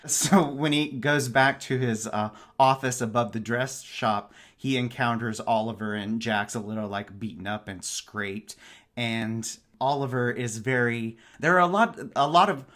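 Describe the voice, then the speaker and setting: A man in a casual book discussion, explaining his thoughts in a measured way as a podcast panelist. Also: medium pitch, fairly bright tone.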